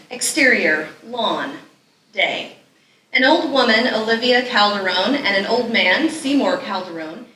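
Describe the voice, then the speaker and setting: A woman reads stage directions aloud at a live reading. Her delivery is crisp-edged and bright, picked up from a distance, with echo and noticeable noise around it. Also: fairly high pitch. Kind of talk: scripted narration at a live table read. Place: live festival event, echoey venue. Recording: echoey room, distant mic, background noise.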